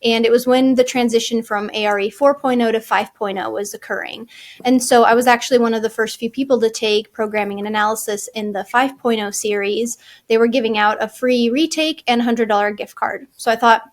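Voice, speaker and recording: Architect woman as chatty guest, thirties, slightly high-pitched, loose and rambling. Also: fairly even intonation, fairly quick. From Czech Republic, raised in California. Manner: chatty, fillers and restarts, frequent "like" and "you know". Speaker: guest, architect and firm founder. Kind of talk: casual interview chat.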